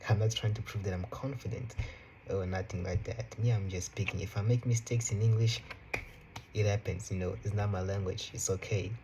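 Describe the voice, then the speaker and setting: A young man around twenty whose first language is Portuguese, talking casually to camera from his room in an unhurried way, full of "you know" and "right". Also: on the low side, lo-fi sound, noisy background. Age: young adult. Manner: relaxed, unhurried, repeats phrases, fillers like "you know" and "right". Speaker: vlogger. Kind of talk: casual motivational talk to camera.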